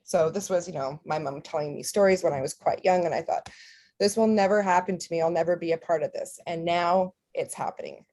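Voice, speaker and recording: A woman's voice: fairly low pitch, fairly quick, dark tone. A woman from Canada whose first language was Ukrainian, speaking earnestly with some fillers as a company founder at a volunteer orientation. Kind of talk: personal talk at an online orientation.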